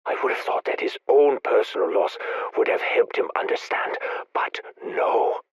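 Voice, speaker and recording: A grieving obsessive scientist, breathy low-key voice, measured and brooding, dull lo-fi audio log. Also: male voice, lively intonation, whispered, exaggerated voice. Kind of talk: video game audio log narration.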